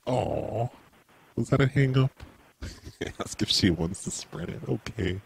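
A man doing prank phone calls as a mall Santa, in a deadpan, crude, mock-serious way. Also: mid-range voice, slow, gravelly, slight background noise. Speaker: prank call host.

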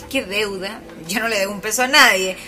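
A woman's voice: medium pitch, moderate pace, close to an everyday voice. An actress playing a young Chilean professional woman, brusque and easily rattled, in a radio drama. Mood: indignant. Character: young female civil engineer, assertive, easily rattled and indignant. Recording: music behind the voice.

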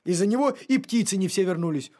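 A man voicing an earnest, fretful male dog who cares about nature in an animated cartoon. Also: sits high, fast. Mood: explaining. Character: earnest, worried male talking dog, nature-minded tinkerer.

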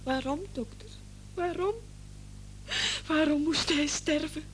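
A woman's voice: high-pitched, fairly even intonation, slow, slight background noise. A woman acting a distraught, accusing wife in tears in a radio play. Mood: anguished grief. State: tearful, anguished.

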